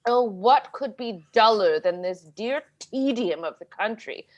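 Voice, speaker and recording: A woman's voice: on the high side, expressive, measured pace, dull audio. A woman playing a vain, fretful middle-aged stage actress in a play reading on a video call, theatrical in manner. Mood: bored, languid.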